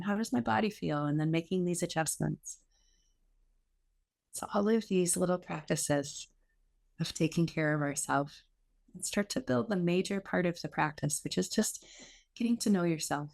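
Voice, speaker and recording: A woman teaching guided meditation in an unhurried, reassuring, gently instructive way with pauses. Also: lowish voice, gravelly.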